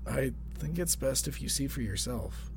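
A man playing a supportive spaceship crewmate in an audio drama, with a gentle, reassuring manner. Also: mid-range voice, lively intonation, moderate pace, vocal fry, soft background music.

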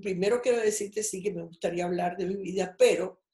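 A woman's voice: mid-range voice, brisk, mellow, reverberant. Cuban-born older woman telling her story. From Cuba. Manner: rambling, personal storytelling with many restarts. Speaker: guest. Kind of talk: casual interview over a call.

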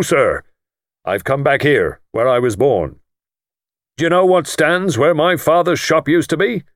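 A man's voice: fairly high pitch, dry recording, studio quality, pitched above the performer's own voice. A man voicing a pompous, boastful self-made older lord who talks in a blustering, self-satisfied way.

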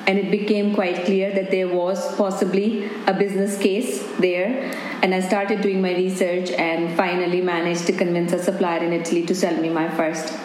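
Flat-toned woman, very echoey. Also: fairly low pitch, moderate pace. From India. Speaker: businesswoman. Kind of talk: recorded interview. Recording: very echoey room with faint noise.

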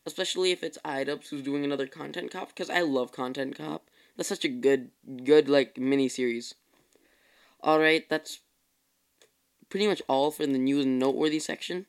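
A tired young man hosts his own casual podcast, rambling along and correcting himself as he goes. His voice sits a little high for a man and comes through smooth and clear. It is relaxed solo chat aimed straight at his listeners. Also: moderate pace. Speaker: podcast host.

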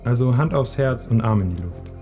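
A man's voice: medium pitch, animated, fairly quick, warm tone. A man narrating a scripted audio play, addressing the listener directly. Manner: direct address with questions and instructions. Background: music.

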